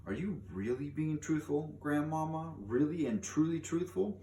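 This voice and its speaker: A man's voice: fairly high pitch, normal speed, warm tone, pitched above the performer's own voice, natural voice. A man voicing a curious, doubting seven-year-old in a storybook, with a questioning lilt. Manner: questioning, lilting upward. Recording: echoey room, distant mic, faint noise.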